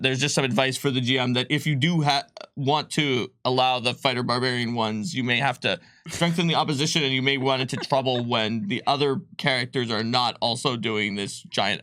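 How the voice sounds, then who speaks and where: A man walks through tabletop rules in a loose, rambling way, peppering his talk with likes and ums. His voice is bright and forward, captured dry and close. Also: mid-range voice, moderate pace, dry recording. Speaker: game designer presenting rules. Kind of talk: casual livestream rules explainer.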